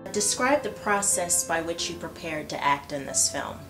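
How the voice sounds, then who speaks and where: A woman with a fairly low voice asks short, direct questions. Music plays behind her. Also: animated, normal speed, rough recording. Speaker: interviewer. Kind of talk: reading interview questions.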